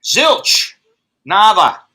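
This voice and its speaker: American guy late 50s, rambly jokey chat, swears a lot, really bright voice, kinda high. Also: very animated, extremely slow. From United States. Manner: rambling, profane, joking. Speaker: host. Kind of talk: casual chat.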